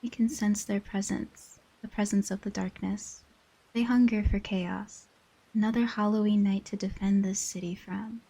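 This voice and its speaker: A woman's voice: medium pitch, steady tone, normal speed, creaky, warm tone, breathy edge, rough recording. A woman voicing a stern, haughty inhuman guardian in a scripted dramatic monologue, formal and imperious. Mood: grave, commanding.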